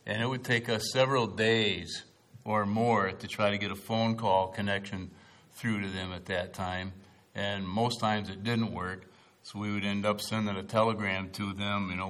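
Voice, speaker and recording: A man who is a missionary pastor, speaking to a church congregation in a relaxed, folksy way. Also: on the low side, normal speed.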